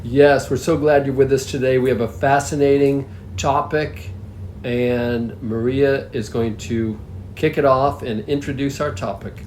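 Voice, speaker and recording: A man from Massachusetts explains vocabulary at a steady pace as a podcast co-host. His voice sits in the middle range, with a faint hiss in the background. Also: medium pitch, a little slow. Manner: steady, explanatory, some fillers. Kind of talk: teaching explanations.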